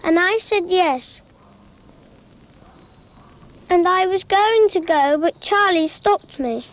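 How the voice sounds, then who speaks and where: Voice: extremely high pitch, animated, slow pace, a bit bright, full character voice. An actor playing an innocent young child who earnestly tells a simple cautionary tale in a cartoon public information film.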